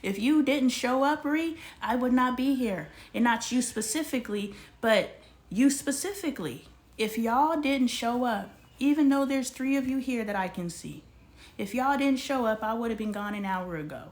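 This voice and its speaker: A woman in her forties hosting a casual livestream, talking straight to her viewers in an animated, slangy way. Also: on the high side, normal speed. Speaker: livestream host.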